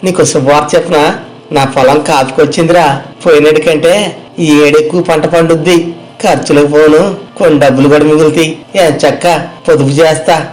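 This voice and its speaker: A man's voice: fairly high pitch, a little mellow, natural voice, poor audio. A man playing an earnest, boastful young villager in a moral story, with emphatic, familiar banter. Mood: cheerful, hopeful. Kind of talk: dramatized moral story dialogue.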